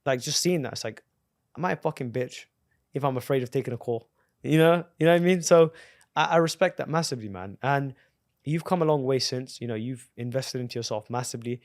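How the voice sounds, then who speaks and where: A man's voice: mid-range voice, brisk, no room sound. A male podcast host talks in an enthusiastic, profane way, full of bro slang. His voice sits mid-range and sounds dry and close. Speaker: podcast host and business coach. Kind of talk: casual interview conversation.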